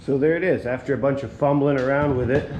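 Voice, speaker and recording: A man casually narrating his repair work in a workshop, half muttering to himself as he works, a little hoarse. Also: medium pitch, fast, reverberant, background noise. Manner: relaxed, mutters quietly while working. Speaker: vlogger working on a small motor vehicle.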